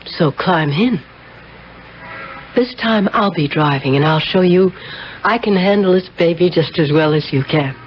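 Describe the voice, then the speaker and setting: A woman's voice: on the low side, measured pace, lightly acted. An actress playing an intense married woman who clings fatalistically to her lover, with dramatic, insistent delivery in a radio drama. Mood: seductive, assured. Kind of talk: 1970s radio drama acting. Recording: muffled old radio broadcast, faint noise.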